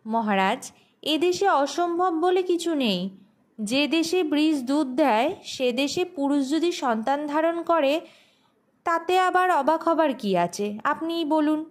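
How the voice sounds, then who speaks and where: A woman voicing a sharp-witted, confident wife in a folk tale audio story. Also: high voice, animated, slow pace. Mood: sly, pointed.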